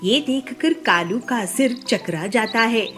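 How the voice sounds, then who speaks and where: Woman, high voice, slow scripted children's storytelling, soft music behind.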